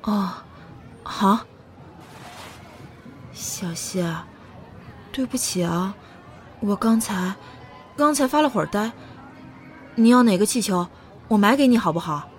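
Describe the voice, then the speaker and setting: Casting: actress as tender, easily flustered young woman for radio play; unhurried, soft, hesitant delivery. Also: mid-range voice, lively intonation, some chatter behind, a little hiss or hum. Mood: absent-minded, gentle. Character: gentle, flustered young woman, doting on a child. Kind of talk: Chinese audio drama acting.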